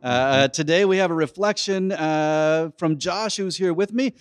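A man hosting a church podcast in a casual chat, relaxed and rambling with many fillers. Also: a little high, moderate pace, smooth, no echo, clean studio sound, faint music behind. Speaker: podcast host.

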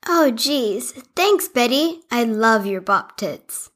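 A woman's voice: fairly high pitch, expressive, slow pace, fairly bright tone, heavily acted. A woman voicing a grateful male toy who lost his button, speaking mildly.

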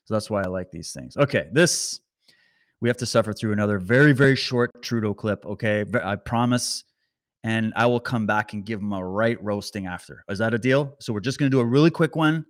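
A man hosting a political commentary stream, talking straight to his viewers with animated sarcasm. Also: on the low side, a little fast. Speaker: commentary host.

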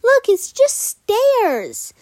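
A woman's voice: very high voice, huge pitch swings, very slow, darkish tone, dry recording, pitched above the performer's own voice, full character voice. A woman voicing a kind, polite female unicorn who talks in light exclamations. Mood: exclaiming.

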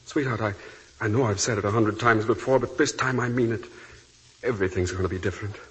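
An actor playing a charming but evasive, volatile young gambler husband in 1940s radio drama, glib and insistent, on a dull old recording. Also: male voice, on the low side, fast, husky. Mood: contrite, coaxing.

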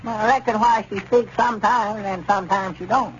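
Man acting high-voiced, naive old Arkansas country fellow. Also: steady tone, brisk, close to an everyday voice. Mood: puzzled. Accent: Southern US, rural Arkansas. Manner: folksy, hesitant, stubbornly literal. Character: naive, contrary old country storekeeper, literal-minded. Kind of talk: 1930s radio comedy acting. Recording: dull, noisy 1930s radio recording.

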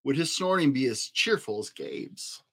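A man narrating a children's picture book in an expressive storytelling style. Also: mid-range voice, moderate pace. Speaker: storybook reader.

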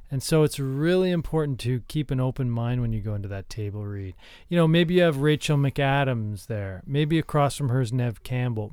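A man speaks as a podcast co-host in a casual, conversational way. His voice is clear and smooth, at a middling pitch. The sound is close and dry, with no room echo. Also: a little mellow. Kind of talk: casual podcast talk about screenwriting.

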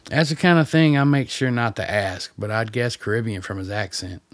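An actor playing a gruff, wry rural guide in a radio play, talking in a blunt, folksy, plain-spoken way. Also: male voice, on the low side, moderate pace, dry recording, lightly acted.

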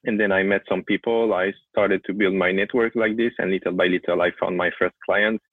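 A young French man with a French accent, a photographer telling his story in a casual interview, often halting and repeating words as he searches for English. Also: low-pitched, steady tone, no room sound. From France. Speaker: guest photographer. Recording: tinny call audio, dry.

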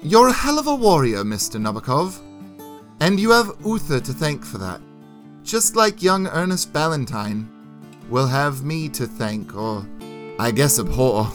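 A man's voice: medium pitch, big pitch swings, unhurried, a bit bright. A man voicing a jovial, wry knight and master at arms with formal, archaic phrasing in a fantasy audiobook, over music. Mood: approving, wry.

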